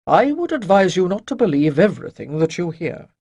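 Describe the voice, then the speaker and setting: A narrator acts out an elderly male priest from a fantasy tale. He teaches calmly and chides gently in a husky voice, pausing for weight and moving expressively up and down in pitch. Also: no room sound, clean studio sound. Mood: reassuring, wry. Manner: measured, declamatory, pausing for weight. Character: wise old male priest, calm gently chiding teacher.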